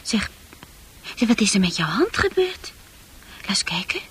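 A woman playing a troubled, unsure teenage girl in a radio play, speaking intimately and hesitantly with a husky, breathy voice that often sinks to a near-whisper. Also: medium pitch, big pitch swings, measured pace, a bit bright, slight background noise. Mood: timid, curious.

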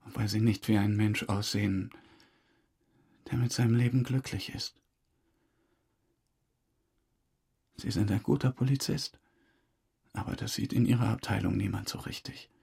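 A man's voice: on the low side, fairly even intonation, moderate pace, creaky, long pauses, a little mellow, husky, exaggerated voice. An actor playing a boastful, weary male suspect who rambles through a confession in a naturalistic radio drama. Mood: insistent, pleading. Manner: rambling confessional monologue, acting out remembered exchanges.

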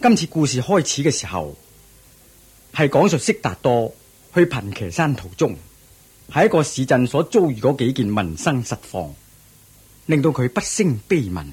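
A man narrating a radio drama with a measured storytelling recap. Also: medium pitch, very animated, a little mellow, slightly rough. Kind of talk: scripted narration.

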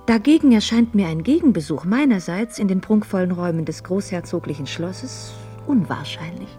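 Young upper-class woman played by an actress, radio drama, candid, gently mocking, formal diction, music in the background. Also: medium pitch, expressive, moderate pace, lightly acted. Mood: cool, ironic. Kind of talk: mid-century German radio play acting.

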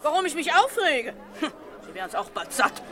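An actress playing an exasperated market trader who complains in an old radio drama. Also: female voice, sits high, very animated, brisk, a bit bright, a faint crowd. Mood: indignant. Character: indignant female market trader, fed up. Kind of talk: 1950s radio drama acting.

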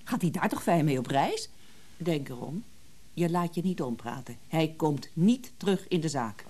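An actress playing a stern middle-aged wife in a radio drama, sharp-tongued, sarcastic and bossy. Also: female voice, low-pitched, animated, moderate pace. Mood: indignant, bossy. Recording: radio play with faint background noise.

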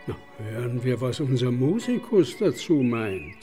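A man playing a cultured, genteel salon gentleman in a German radio play, with a formal, mannered delivery. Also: medium pitch, sing-song, unhurried, soft background music.

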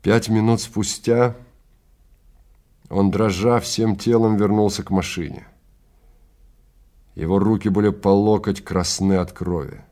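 A man with a fairly low voice serves as narrator. He reads scripted science fiction in a dramatic storytelling style. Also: steady tone, unhurried, long pauses, mellow.

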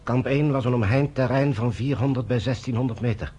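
An actor in a radio play, playing a troubled, conscientious British general, grave and measured, with a husky voice. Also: male voice, mid-range voice, a little mellow, lightly acted. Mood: grave testimony. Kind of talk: Dutch radio play acting.

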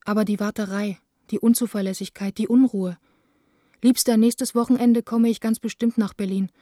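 A woman plays a lovelorn young letter writer in a radio play. Her voice is soft-toned and warm, with an intimate, earnest delivery. The recording is very dry. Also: fairly high pitch, fairly even intonation, moderate pace, dry recording, close to an everyday voice. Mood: sad, reproachful. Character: lovelorn, reproachful young woman, theatre director.

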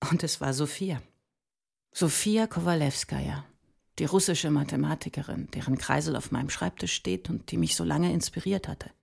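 An actress playing a dreamy female mathematician and mother, speaking in a measured, reflective way in a radio play. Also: sits low, vocal fry, a little mellow, husky, heavily acted. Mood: wondering, dreamy.

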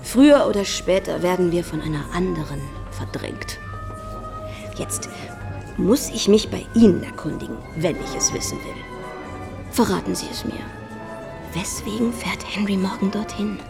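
A woman's voice: mid-range voice, animated, normal speed, gravelly, over music. An actress playing a worldly young society lady in a radio play, teasing and probing in a knowing, coaxing way. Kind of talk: German radio play acting.

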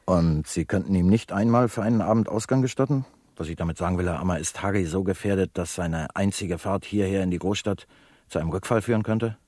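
Deep-voiced, showy talk show host. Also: male voice, brisk, a little mellow, no room sound. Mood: smooth, chatty. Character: slick, chatty male radio talk show host. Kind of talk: satirical radio drama, show moderation.

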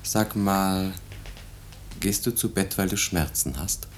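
An actor playing a calm, concerned husband in a 1950s radio drama, questioning in a measured, patient way. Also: male voice. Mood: concerned, probing. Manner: measured, probing. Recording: faintly noisy, rumbly old radio recording.

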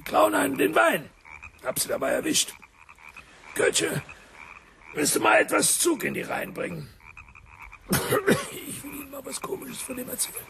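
Radio drama: gruff, gossipy old officer, heavily creaky, clipped and cough-prone, clear background noise. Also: male voice, extremely high pitch, sing-song, moderate pace, pauses often, exaggerated voice. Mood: gossipy, confiding. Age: older. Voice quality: frequent coughing, damaged breath. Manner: clipped telegraphic sentences, military bluffness. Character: gruff old retired British army major, chatty, blunt.

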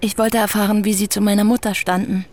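A woman's voice: medium pitch, flat delivery, a little mellow, natural voice. A woman playing a politely probing young writer. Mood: earnest. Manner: polite, measured questioning. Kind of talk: radio drama acting.

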